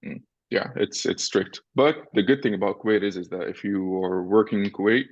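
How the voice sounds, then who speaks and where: Guest: young Syria-born man, low voice, dry and slightly dull recording, explains patiently. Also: fairly quick, no echo. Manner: explains step by step, often tags "right?". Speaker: podcast guest, entrepreneur. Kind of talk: casual interview chat.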